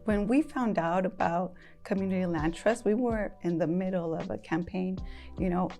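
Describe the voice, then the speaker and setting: A woman's voice: lowish voice, moderate pace, dark tone, faint music behind. A woman from San Jose speaking as a board president in an interview, explaining steadily and fluently. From San Jose, California. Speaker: land trust board president. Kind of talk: interview talk.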